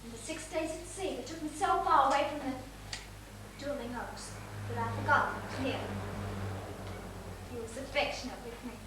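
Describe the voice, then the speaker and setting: A woman playing a fragile, troubled young woman in an amateur stage play, speaking in a dramatic, halting way. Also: sits high, lightly acted. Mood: wistful, reflective. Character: fragile, troubled young woman recounting last summer's trauma. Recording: echoey theatre stage, distant mic, noisy.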